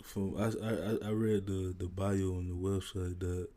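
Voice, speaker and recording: A man hosting a casual podcast interview in a university library, asking relaxed questions full of fillers. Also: sits low, unhurried. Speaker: podcast host.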